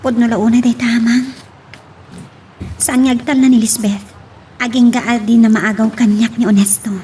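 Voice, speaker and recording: A woman's voice: on the high side, flat delivery, normal speed, mellow, close to an everyday voice. A woman playing a troubled, earnest daughter pleading with her father in an Ilocano audio drama, with an Ilocano accent. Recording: clear background hiss and noise.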